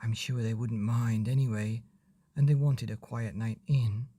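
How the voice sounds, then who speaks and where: A man's voice: medium pitch, fairly even intonation, a little slow, mellow, voice pushed down, lightly acted. A man voicing a bossy but caring little girl in a children's storybook, speaking in a matter-of-fact way.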